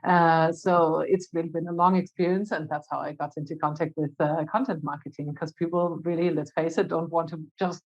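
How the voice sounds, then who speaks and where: A woman's voice: deep voice, fairly even intonation, darkish tone. German-accented woman, slightly dull sound. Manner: chatty, laughs often, pauses with "uh". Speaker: guest, marketing director. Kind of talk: podcast interview answers.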